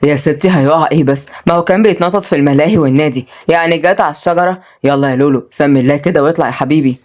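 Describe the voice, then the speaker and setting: Woman acting out young Egyptian guy, lowered pitch, sarcastic, mock-serious, teasing, comic storybook. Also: racing, darkish tone, lightly acted. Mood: playful.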